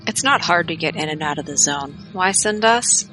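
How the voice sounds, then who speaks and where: A woman's voice: lowish voice, expressive, moderate pace, sharp tone, faint music behind, poor audio. A woman playing a shrewd, money-minded hacker on a mercenary team, casual and skeptical, in an audio drama.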